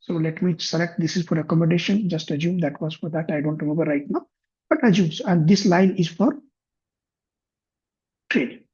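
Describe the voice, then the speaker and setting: A man demonstrating finance software on a shared screen, thinking aloud with frequent restarts. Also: a little high, moderate pace, long pauses, breathy edge. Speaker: software instructor. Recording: muffled lo-fi computer mic.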